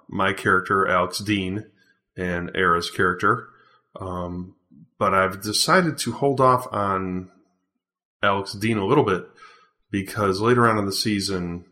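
A man who writes and produces the show, introducing it with relaxed talk full of ums. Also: sits low. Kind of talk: podcast introduction.

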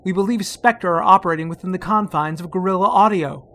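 An actor playing a fussy, protective gadget inventor in a spy spoof, earnest and theatrical. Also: male voice, sits high, brisk, fairly bright tone. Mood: earnest briefing.

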